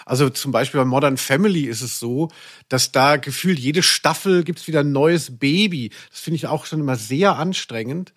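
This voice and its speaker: A man's voice: a little high, normal speed. A man with a German accent hosting a podcast in casual, humorous chat, rambling and teasing. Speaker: podcast host.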